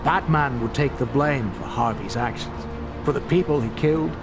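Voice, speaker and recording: A man playing an earnest, weary veteran police chief in a game cutscene, solemn and deliberate. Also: medium pitch, music in the background, natural voice. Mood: solemn confession. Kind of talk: video game cutscene acting.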